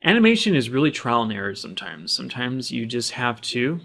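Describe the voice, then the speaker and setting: A man's voice: mid-range voice, sing-song, a little fast, gravelly, fairly bright tone. Man giving step-by-step tutorial narration. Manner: plain, instructional, explaining each action as he does it. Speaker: tutorial instructor. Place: voice-over of a screen recording.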